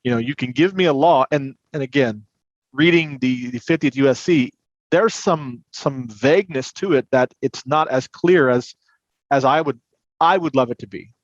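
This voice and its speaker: A man's voice: mid-range voice, moderate pace. A man talking as an expert interview guest, explaining things earnestly in a conversational tone on muffled, roomy audio. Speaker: podcast guest, credit union compliance and recovery manager.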